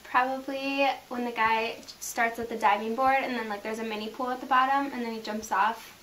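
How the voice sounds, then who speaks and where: A woman's voice: fairly high pitch, fairly even intonation, brisk, fairly bright tone, a little hiss or hum, low-quality recording. A teenage girl answering interview questions in a rambling way, full of likes. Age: teens. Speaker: youth group member.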